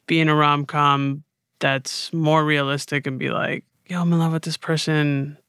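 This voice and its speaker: A man's voice: a little high, little pitch movement, normal speed, bright, forceful tone, clean tone, no room sound, clean studio sound. An American man co-hosting a podcast in a conversational style. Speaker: podcast co-host.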